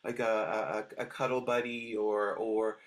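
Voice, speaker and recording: A man's voice: on the low side, flat delivery, unhurried. Hesitant man telling stories. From Switzerland. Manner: hesitant, many restarts and fillers. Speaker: dog trainer guest. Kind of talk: casual interview storytelling.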